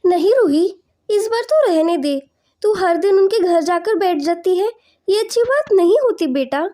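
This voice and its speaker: A woman acts out a poor, anxious wife and mother from an animated folk tale. Her voice is very high and soft-toned, plaintive and earnest as she urges her family. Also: moderate pace. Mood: gently scolding. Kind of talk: animated moral story dialogue.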